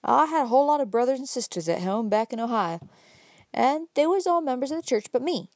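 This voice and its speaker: A chatty old country fellow with a homespun drawl, as a woman puts him on for a storybook reading. He talks emphatically and a touch high, with lively rises, in a dry close recording. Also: fairly quick, no echo. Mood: folksy. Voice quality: rustic drawl with dialect. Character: folksy old countryman, talkative, pipe-smoking. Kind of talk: audiobook character reading.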